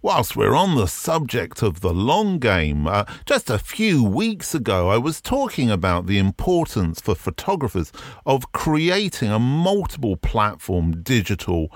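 A man's voice: medium pitch, huge pitch swings, measured pace, dry recording, studio quality. A man hosting a podcast alone, talking in a conversational, reflective way with frequent ums. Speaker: podcast host. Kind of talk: casual podcast monologue. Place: garden shed.

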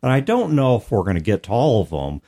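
A man with a fairly low voice talks as a podcast host. He explains things at length in a casual, reflective manner, often adding small qualifying asides as he goes. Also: very animated, fast, warm tone. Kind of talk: casual discussion of tabletop role-playing games.

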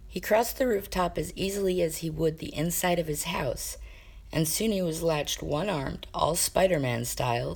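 Lady reading fan fiction out loud, deep voice w lots of creak, drawn out dramatic bits. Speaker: amateur fiction reader. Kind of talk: expressive narration of a comic fan fiction story.

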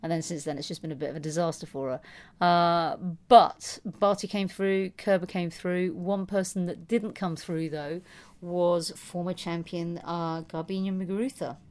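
A woman talking tennis in a relaxed podcast chat. She rambles, laughs at herself and fills her sentences with ums and restarts as she goes. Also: fairly low pitch, normal speed. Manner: chatty, self-mocking, lots of fillers and restarts. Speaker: podcast co-host.

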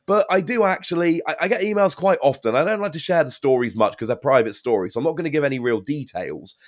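A man's voice: a little high, fast. A man in his thirties, a podcast host, chatting casually and telling stories with animation. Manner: animated, lively storytelling. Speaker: podcast host and card game caster. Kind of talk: casual podcast interview chat.

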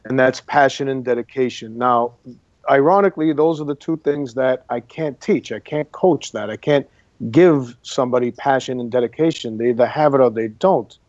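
A man's voice: mid-range voice, normal speed, a little mellow, no echo, muffled recording. A man from Boston, an acting coach, talking earnestly as a guest in a casual interview and repeating words for emphasis. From Boston, Massachusetts.

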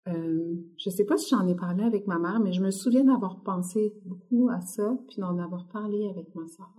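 A middle-aged woman from Quebec with a Québécois accent, talking casually as an interview guest and pausing often on fillers. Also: mid-range voice, mellow. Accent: Québécois French. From Quebec, Canada. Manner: hesitant, many fillers. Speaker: guest artist.

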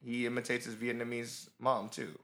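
A man co-hosting a casual podcast chat, talking in animated bursts full of fillers. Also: mid-range voice, moderate pace.

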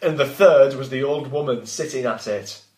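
A man's voice: mid-range voice, normal speed, darkish tone. A man reading his own gothic story aloud and breaking off to banter about it. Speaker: author and reader. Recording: roomy, echoey home recording.

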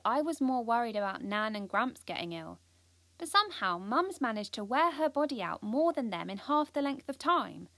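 A woman's voice: a little high, fairly bright tone, no room sound, heavily acted. A young British woman playing an earnest, ambitious, anxious schoolgirl who confides her story in an audio drama. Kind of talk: first-person audio drama narration.

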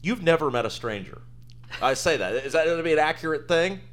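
A man's voice: a little high, lively intonation, a little fast. A man hosting an interview, friendly and chatty, telling stories and asking questions. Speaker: podcast host. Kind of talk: interview conversation.

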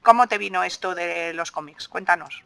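A woman's voice: on the low side, moderate pace, vocal fry, pushed and bright. A woman with an accent from Spain, hosting a podcast in a casual, informal interview style. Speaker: podcast host.

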